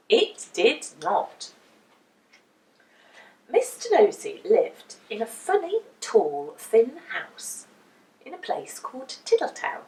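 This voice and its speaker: A woman reads a children's storybook with dramatic emphasis and swooping intonation. Her delivery is slow and careful, and she is heard in an echoey room. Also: high-pitched, gravelly. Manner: animated, deliberate, dramatic emphasis. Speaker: storyteller reading a children's book. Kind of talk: expressive storytime narration for children. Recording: roomy echo, distant microphone.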